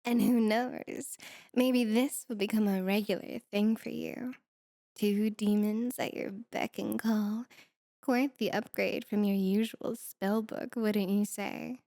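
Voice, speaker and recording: A woman's voice: medium pitch, fairly even intonation, slow, vocal fry, mellow, dry recording, clean studio sound. A woman playing a sultry, mischievous succubus demon in a flirty ASMR roleplay, with a coy, taunting delivery. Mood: inviting, sultry.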